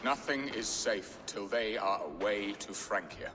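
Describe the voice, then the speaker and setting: Sly feasting lord, bright forceful tone, unhurried, familiar game-drama delivery. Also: male voice, on the low side. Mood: conspiratorial. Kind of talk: dramatic video game acting. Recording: reverberant room with faint noise.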